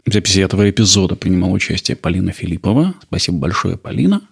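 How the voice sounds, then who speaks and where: A man's voice: low-pitched, expressive, very fast, gravelly, husky. A man hosting a history podcast, narrating in an explanatory way with rhetorical questions. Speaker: podcast host.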